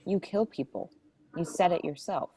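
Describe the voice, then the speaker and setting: A woman playing a troubled runaway girl in a drama table read, quiet, guarded and blunt. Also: fairly low pitch, normal speed, slight background noise, close to an everyday voice. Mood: quiet, haunted.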